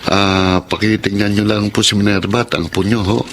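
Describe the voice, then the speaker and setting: A man's voice: fairly low pitch, level tone, moderate pace, a bit bright, slight background noise. An actor playing a proud, hardworking young Filipino husband and father in a radio drama, speaking earnestly and insistently. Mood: respectful, grateful.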